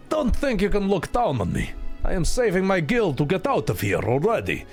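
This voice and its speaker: A man's voice: high voice, wildly swooping pitch, unhurried, gravelly, heavily acted. A haughty townsman with a heavy Russian accent, sore over stolen coin, as a man puts him on. His voice rises a little, with faint music behind. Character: proud poor man saving his coin.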